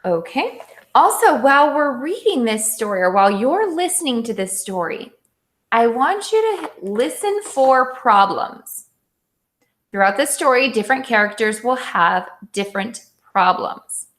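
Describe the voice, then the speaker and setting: A woman's voice: fairly high pitch, big pitch swings, unhurried, a bit bright. A woman teacher giving a read-aloud lesson for children, explaining things simply and instructively. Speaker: elementary school teacher.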